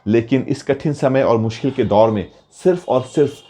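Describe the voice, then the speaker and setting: A man telling a motivational story in an earnest, deliberate way, with rhetorical pauses. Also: medium pitch, warm tone.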